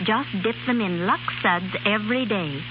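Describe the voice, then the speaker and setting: Actress as gentle advising aunt, 1930s radio commercial. Medium pitch, dull, noisy archival sound. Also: female voice, normal speed, natural voice. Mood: kindly. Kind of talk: 1930s scripted radio advertisement. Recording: hissy, muffled 1930s radio recording.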